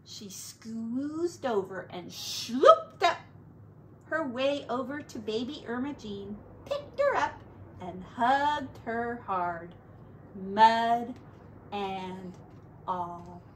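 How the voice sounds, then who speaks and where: A woman teacher speaks to young children in a high voice at an unhurried, very slow pace. Her delivery is expressive and sing-song, with stretched words, and there is slight noise in the background. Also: poor audio. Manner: expressive, drawn-out words, hushed asides.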